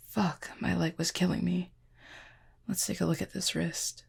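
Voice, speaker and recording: A woman playing an injured, proud and guarded cupid in an intimate audio roleplay, speaking formally and wryly in a husky, pained voice with weary sighs. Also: fairly low pitch, exaggerated voice. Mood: pained, strained. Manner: formal, wry wording with weary sighs and hesitant pauses. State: in pain, strained.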